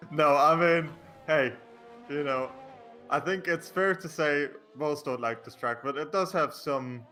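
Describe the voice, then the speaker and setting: A Danish-accented man co-hosts a sim-racing stream, slipping dry teasing jokes between lap calls. His tone is bright and clear. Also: a little high, slow pace, pauses often, faint music behind. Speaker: co-commentator. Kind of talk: casual live sim-racing commentary.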